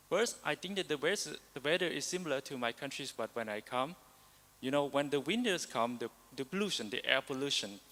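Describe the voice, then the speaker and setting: A man from Cambodia, speaking haltingly with many pauses on a conference panel. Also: mid-range voice, fairly bright tone, long reverb tail. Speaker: embassy delegate. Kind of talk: panel sharing. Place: large conference hall.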